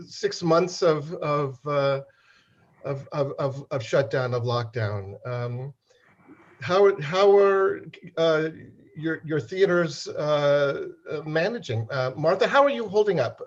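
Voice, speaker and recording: A man interviews guests over a video call, his speech full of "uh" and doubled words. His voice sits fairly high for a man, and the audio comes through dull and lo-fi. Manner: halting, many repeated words and fillers. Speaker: host.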